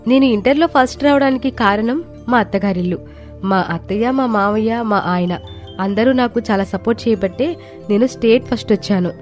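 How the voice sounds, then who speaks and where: A woman's voice: a little high, moderate pace, a little mellow, faint music behind, close to an everyday voice. A woman voicing a young, dutiful daughter-in-law, polite and earnest, in a cartoon moral story. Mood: proud, grateful.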